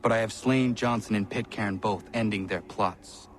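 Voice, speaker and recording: A man's voice: lowish voice, a little hiss or hum, lightly acted. Actor as solemn, earnest young male assassin. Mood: solemn, resolute. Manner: formal, measured, stiff phrasing. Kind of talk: video game voice acting.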